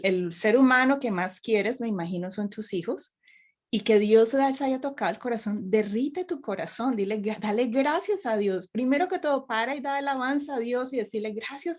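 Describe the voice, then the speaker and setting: A woman's voice: on the high side, normal speed. A woman raised in Colombia, a youth minister, giving earnest advice and telling personal stories in a panel discussion.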